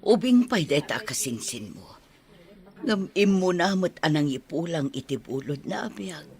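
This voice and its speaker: Animated, fretful village mother, played by a woman. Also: sits low, normal speed. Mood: conversational. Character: anxious, questioning middle-aged village mother. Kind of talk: radio drama acting in Ilocano.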